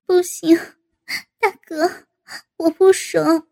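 A woman voicing a shy, flustered middle-aged woman, breathless and whimpering, in a very high, soft voice. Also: little pitch movement, slow pace, creaky, exaggerated voice. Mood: bashful.

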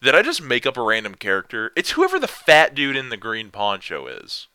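Young man, deep lively voice, unhurried self-deprecating rambler, dry close mic. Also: clear, resonant tone, no echo. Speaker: vlogger answering viewer questions. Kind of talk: casual rambling chat.

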